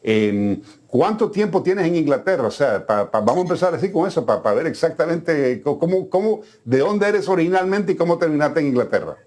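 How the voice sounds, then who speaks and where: A man's voice: fairly high pitch, lively intonation, fairly quick, hardly any pauses, darkish tone. A man hosting a business news show, talking conversationally with frequent fillers and restarts.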